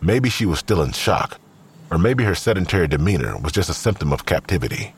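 A man with a very raspy voice reading scripted narration for an audio drama. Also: deep voice, sing-song, fairly quick, slight background noise.

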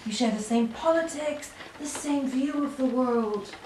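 A woman's voice: high-pitched, measured pace, a little mellow. A woman playing a firm, weary sister in an audio play, blunt and admonishing yet protective. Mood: pleading concern. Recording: roomy, distant mic, clear background noise.

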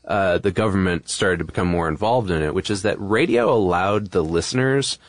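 Podcast co-host: American male, deep register, informal explainer who feels his way through sentences, dry studio sound. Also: dry recording. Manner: informal, halting, thinks aloud with repeated phrases. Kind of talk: casual conversational explainer.

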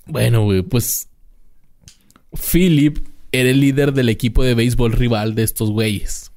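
A young Mexican man co-hosting a podcast, easygoing and full of slang. His voice sits at a middle pitch. He reads facts out loud, then drifts back into banter. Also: normal speed. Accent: Mexican Spanish. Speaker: podcast co-host. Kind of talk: casual chat.

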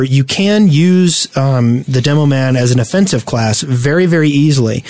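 Halting man explaining game tactics, dry close sound. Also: medium pitch, darkish tone, dry recording. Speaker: podcast host. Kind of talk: casual gaming podcast discussion, explaining tactics.

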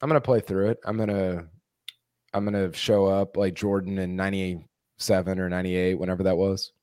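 A man co-hosting a sports podcast, a bit hoarse, rambling with many restarts. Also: sits low, little pitch movement, brisk, darkish tone, no echo, clean studio sound. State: losing his voice. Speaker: podcast co-host. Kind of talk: casual sports podcast chat.

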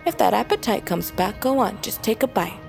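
A woman's voice: medium pitch, big pitch swings, fairly quick, gravelly, darkish tone. An actress playing a brash, hot-tempered young huntress who mocks and taunts her rivals. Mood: taunting. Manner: taunting, mocking. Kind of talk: fantasy audio drama acting. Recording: music bed behind voice.

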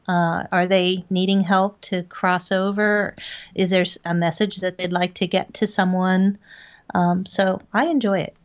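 A woman giving reflective, conversational answers as an interview guest and medium, measured and pausing to think. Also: mid-range voice, highs cut off. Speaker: guest, paranormal investigator and medium.

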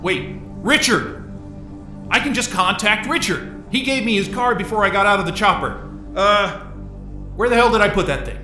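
A man's voice: high voice, normal speed, long pauses, soft background music. A man playing a frantic, hot-tempered, impatient stranded man in a naturalistic audio drama. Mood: agitated, indignant. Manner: excitable, exasperated, muttering and cursing.

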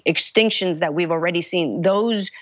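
A woman's voice: fairly low pitch, normal speed, no room sound, muffled recording. A woman, a congressional candidate giving earnest, urgent answers with many restarts. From United States. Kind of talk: interview answers.

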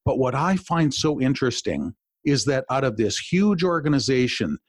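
A man's voice: medium pitch, sing-song, normal speed, no echo. An upbeat male host of a business podcast who frames each question with a long, friendly lead-in. His voice sits in a middle register and is recorded close and dry, with no room sound. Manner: enthusiastic, warm-worded, long wind-up questions. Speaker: podcast host and executive coach. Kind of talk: podcast interview.

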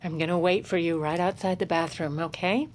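An actress playing a protective, evasive First Lady, speaking in a halting, confessional way in an audio drama. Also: on the low side, steady tone, a little mellow, a little hiss or hum, lightly acted. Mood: worried. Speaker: actor and narrator in an audio drama. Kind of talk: dramatic first-person narration and dialogue.

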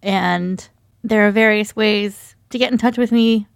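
A woman's voice: medium pitch, steady tone, a little slow, vocal fry, clear, resonant tone, no room sound, clean studio sound. A woman talking casually as a guest in a podcast conversation. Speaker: podcast guest, audio freelancer. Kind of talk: casual chat.

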